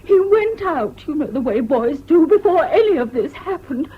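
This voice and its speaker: Very high-pitched distraught mother. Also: sing-song, normal speed. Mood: distraught, tearful. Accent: English. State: crying. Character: distraught, anxious mother of a missing boy. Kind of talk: British radio drama acting. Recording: dull old radio recording.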